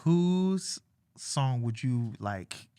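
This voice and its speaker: Relaxed, slangy male podcast host. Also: mid-range voice, extremely slow, clean tone, no echo, clean studio sound. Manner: relaxed, slangy, playful questioning. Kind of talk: casual interview chat.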